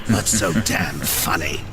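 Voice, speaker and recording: A cruel demon jailer taunting in a low, slow, sneering voice. He mocks his captive with cold amusement, with music under him. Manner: taunting, laughs at others. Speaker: game character. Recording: game cutscene with music underneath.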